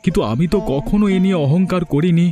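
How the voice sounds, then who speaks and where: A man voicing a well-meaning village man in a cartoon, earnest and measured. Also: sits high, dark tone, faint music behind, lightly acted. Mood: hurt. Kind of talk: Bengali cartoon voice acting.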